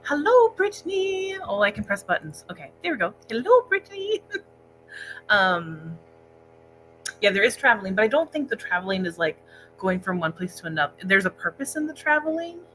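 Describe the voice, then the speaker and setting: Bright-voiced woman chatting casually about books. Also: mid-range voice, big pitch swings, normal speed, a little hiss or hum, rough recording. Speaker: co-host of a book discussion livestream. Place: indoor library room, speaking into a laptop set-up.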